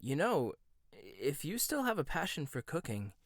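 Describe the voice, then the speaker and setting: A man performing a gentle, intimate ASMR roleplay monologue, hesitant at times and teasing at others. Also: a little high, wildly swooping pitch, no echo, clean studio sound.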